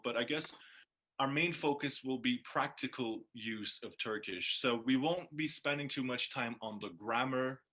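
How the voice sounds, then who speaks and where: A man with a bright, forward voice co-hosts a language lesson as its teacher. He explains in a measured, semi-formal way, often halting as he goes. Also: mid-range voice. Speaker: co-host and Turkish teacher.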